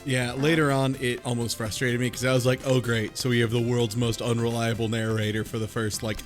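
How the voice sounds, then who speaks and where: A man speaks as a podcast co-host in an average-pitched voice. His talk is informal and conversational, with quiet music underneath. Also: little pitch movement, fairly quick. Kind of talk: casual chat.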